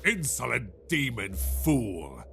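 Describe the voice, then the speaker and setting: A man's voice: medium pitch, wildly swooping pitch, slow, gravelly, pushed and bright, slight background noise, exaggerated voice. A man playing a proud, wrathful dragon lord, speaking in a grand, imperious manner in a fantasy audio drama. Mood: imperious anger. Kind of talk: fantasy audio roleplay acting.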